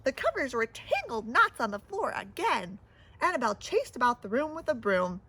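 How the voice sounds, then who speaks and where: Woman, high-pitched, theatrical storybook read-aloud, lively intonation, dramatic emphasis. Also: normal speed, breathy edge. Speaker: storybook reader. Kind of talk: expressive read-aloud of a children's picture book.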